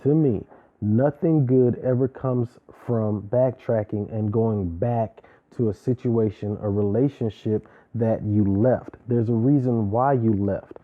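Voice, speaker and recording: A man's voice: mid-range voice, steady tone, unhurried. A man giving a casual, opinionated recap of a reality show, talking in an emphatic, slangy way with preachy asides. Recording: tinny phone-like sound.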